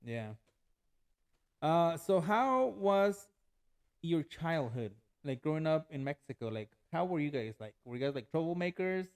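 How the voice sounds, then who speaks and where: A man's voice: on the high side, big pitch swings, measured pace, smooth. A young adult man hosting a podcast, chatting casually and encouraging his guests with frequent "yeah" and "like". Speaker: podcast host. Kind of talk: casual interview conversation.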